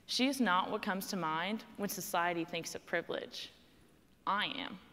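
A young woman from Texas speaks with a clear Texan accent, standing on a stage in a large hall. She tells a personal story in a conversational, emphatic way and leans on the key words. Her voice carries a long hall reverb. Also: fairly low pitch, normal speed, pushed and bright. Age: young adult. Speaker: student panel speaker. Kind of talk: prepared personal speech.

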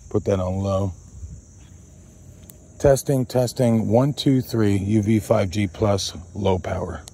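A man's voice: fairly low pitch, slow, dark tone, slightly rough. A man hosting an outdoor radio range test, repeating test calls and calling to his dog in an open field, recorded on a body-worn camera. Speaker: radio hobbyist host.

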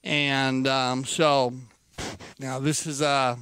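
A man's voice: mid-range voice, flat delivery, very slow, fairly bright tone, clear, resonant tone, no echo. A man giving chatty, folksy color commentary on a live polo match.

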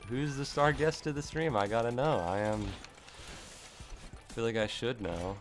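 A young man streaming a game from home, his voice hoarse and gravelly from screaming, rambling and swearing as he plays. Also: mid-range voice, moderate pace, soft background music. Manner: rambling, swears when frustrated. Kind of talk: live gameplay stream chat. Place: home room.

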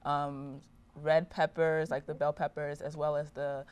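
A young woman from Nigeria talks casually as a studio guest, with a low and smooth voice. Her speech is full of fillers and loose restarts. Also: level tone, unhurried, a little mellow. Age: young adult. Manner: chatty, many fillers like "like" and "um". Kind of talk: casual interview talk. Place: TV studio set.

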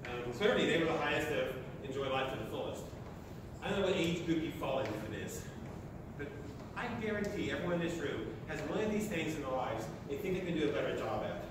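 A man's voice: a little high, animated, brisk. Echoey, distant American man giving a talk. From Oklahoma, United States. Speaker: speaker at a sustainability meeting. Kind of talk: motivational talk to an audience. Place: large lecture hall, indoors. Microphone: distant camera at the back of the room. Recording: echoey hall from far off, noisy.

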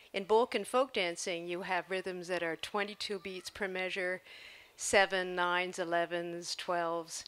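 Older woman choreographer, low bright voice. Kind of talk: answering questions at a public talk. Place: outdoor stage before an audience. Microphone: handheld microphone.

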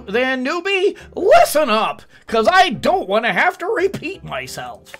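A man's voice: very high-pitched, a bit bright, pitched above the performer's own voice, full character voice. A man voicing an upbeat, bossy male guild leader in a game.

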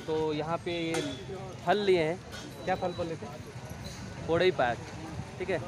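A man's voice: on the high side, steady tone, a little slow, crowd noise. A young man chatting casually to his phone camera at an open-air village market, asking sellers their prices. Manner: chatty, asks vendors prices. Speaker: vlogger. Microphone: phone held at arm's length.

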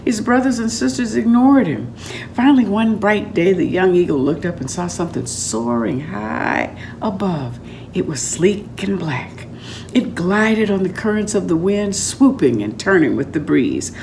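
An older woman reading a story aloud in an expressive, dramatic and deliberate storytelling manner. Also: medium pitch, vocal fry, slight background noise. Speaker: author reading aloud from own book. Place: room at home, indoors.

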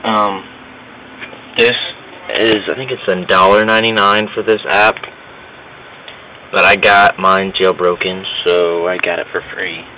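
A young man giving a casual, halting tutorial to camera as an app reviewer. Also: deep voice, level tone, bright, forceful tone. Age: young adult. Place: indoors, dim cluttered room at home. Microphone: laptop mic, later phone headset mic. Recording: muffled, noisy webcam-style recording, distant mic.

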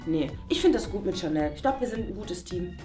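A woman's voice: medium pitch, expressive, a little fast, lightly acted. A young woman playing an eager, easily annoyed teenage camper, chatty and a little bossy. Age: young adult. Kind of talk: scripted reality-show acting. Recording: echoey room, music over it.

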